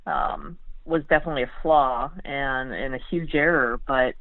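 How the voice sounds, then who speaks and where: A woman's voice: a bass voice, no echo. American woman sports writer on a phone line, casual chat, keeps backtracking and repeating herself, dry sound. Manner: halting, repeats phrases and backtracks often. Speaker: sports beat writer, podcast guest. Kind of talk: casual sports interview analysis.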